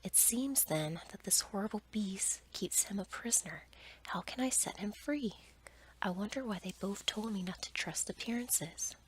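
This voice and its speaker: Woman whispering as a gentle young storybook heroine. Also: medium pitch, expressive, normal speed, natural voice, rough recording. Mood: earnest, wondering. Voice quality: soft, breathy whisper. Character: gentle young heroine, earnest, curious and puzzled. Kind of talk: whispered ASMR storybook reading.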